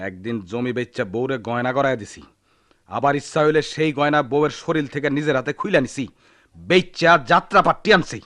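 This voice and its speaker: A man's voice: medium pitch, brisk, fairly bright tone, natural voice. An actor playing a defiant, hot-headed village man in a radio drama, blustering and threatening in a rural Bangladeshi Bengali accent. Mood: defiant, brooding.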